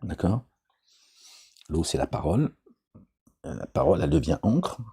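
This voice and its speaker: Middle-aged male commentator: deep dark rasp, meandering preachy chat that keeps checking in with his audience. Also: sing-song, moderate pace. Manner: digressive, asks "d'accord?" often, jokey asides. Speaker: religious commentator. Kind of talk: informal Quran commentary, rambling.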